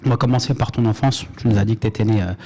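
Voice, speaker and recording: A man's voice: lowish voice, fairly even intonation, very fast, mellow. A man hosting a podcast, interviewing conversationally and encouraging his guest with short acknowledgements. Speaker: podcast host.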